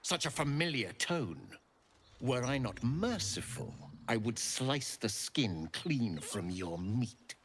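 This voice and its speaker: An actor playing a merciless, scornful Githyanki knight commander in a fantasy video game, speaking in a commanding, theatrical way. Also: male voice, mid-range voice, very animated, takes it slowly, fairly bright tone, a little hiss or hum. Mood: silky menace.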